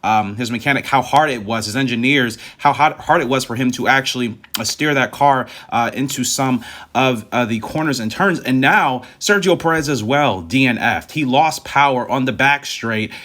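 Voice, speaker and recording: A man's voice: medium pitch, moderate pace, a bit bright. A man giving a casual motorsport recap as a video host, conversational and emphatic, repeating points to stress them. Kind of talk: casual race recap commentary.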